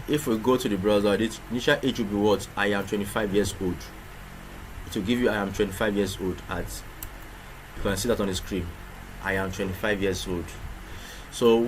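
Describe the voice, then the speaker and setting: A man teaching programming in a screen-recorded tutorial, explaining step by step with frequent restarts and rhetorical questions. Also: fairly low pitch. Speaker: programming instructor. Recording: clear background noise with keyboard typing.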